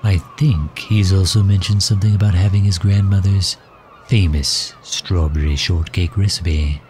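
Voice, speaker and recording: A man playing a suave, protective villain in an ASMR audio roleplay, speaking in a gentle, courtly, formal and measured manner. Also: low-pitched, fairly even intonation, slight background noise, lightly acted. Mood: proud, explanatory. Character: suave, protective male villain doting on his captive guest.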